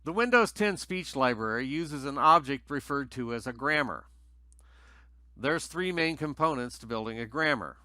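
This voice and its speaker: Bright-voiced man narrating a technical tutorial. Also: mid-range voice, expressive, normal speed, no room sound. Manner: methodical, explanatory. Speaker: tutorial presenter.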